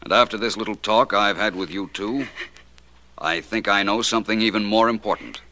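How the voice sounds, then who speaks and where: An actor playing a shrewd, unflappable detective with polished, wry phrasing in 1940s radio drama style. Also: male voice, lowish voice, normal speed, bright, forceful tone. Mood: cool, pointed. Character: suave, shrewd, unflappable male private detective.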